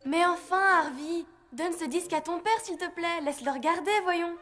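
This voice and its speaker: A woman voicing a sensible, reassuring young girl in a cartoon, speaking in a gently persuasive way. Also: very high voice, normal speed. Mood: pleading. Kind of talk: animated cartoon dubbing.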